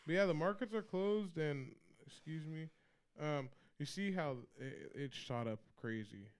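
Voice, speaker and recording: A young man in his twenties talks with friends on a podcast. His voice sits a bit high and sounds smooth and clear. His delivery is hyped and full of slang, with his friends getting called bro and baby. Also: animated, unhurried. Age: 20s. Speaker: podcast co-host. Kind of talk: casual chat among friends.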